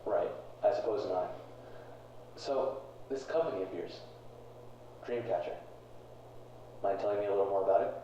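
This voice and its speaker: A male actor as a skeptical interviewer, dry and halting, in a found-footage horror film. His lowish voice sits far off in a very echoey room on dull tape. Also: mellow, close to an everyday voice. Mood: dry, probing. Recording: echoey, distant, dull hissy 1980s tape.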